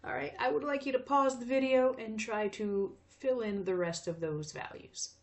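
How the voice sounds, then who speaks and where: A woman's voice: fairly high pitch, moderate pace. A woman in her fifties teaching math in a recorded video lesson, chatty and friendly, with frequent fillers. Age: 50s.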